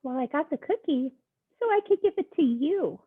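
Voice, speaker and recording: A woman voicing a calm, polite little duckling in a picture book, speaking softly and mildly. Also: sits high, normal speed, pitched above the performer's own voice. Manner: soft, mild and polite. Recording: tinny phone-like sound.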